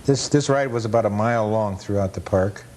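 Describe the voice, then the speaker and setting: Low-voiced man explaining, noisy rumbly recording. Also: brisk, darkish tone. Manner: matter-of-fact, detailed, anecdotal explaining. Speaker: guest film collector and amusement park historian. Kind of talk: casual commentary over old film footage. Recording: noisy rumbly 1980s cable TV audio.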